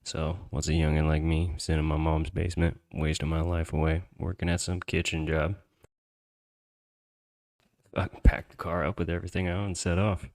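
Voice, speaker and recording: A man's voice: very deep voice, level tone, moderate pace, darkish tone. A man hosting a podcast, telling a personal story in a casual, slangy, conversational way with some swearing.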